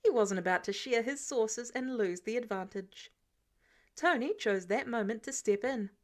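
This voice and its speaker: A woman reads fiction aloud in a fairly high voice. Her delivery is scripted storytelling, expressive and shaped like an audiobook. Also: moderate pace. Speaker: fan fiction audiobook reader.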